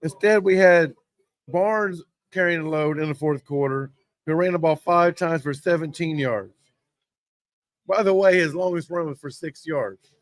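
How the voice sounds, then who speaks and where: A hoarse man hosting a casual sports talk show from a sports bar, rambling and getting worked up over play calls. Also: a little high, unhurried, no room sound. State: hoarse from yelling. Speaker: host.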